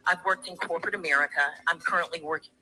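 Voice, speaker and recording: A woman's voice: on the low side, steady tone, rapid-fire, sharp tone, poor audio. A woman calling in to a livestream discussion, speaking in a formal, emphatic and defensive way over harsh replayed stream audio. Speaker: caller on a livestream. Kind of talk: opinionated panel discussion.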